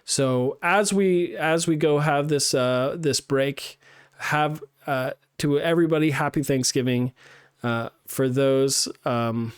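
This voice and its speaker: A game design instructor reviewing a student level on a live stream, thinking aloud with many fillers and restarts while his voice is getting hoarse. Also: medium pitch, no room sound, studio quality. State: losing his voice. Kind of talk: live-streamed playtest feedback.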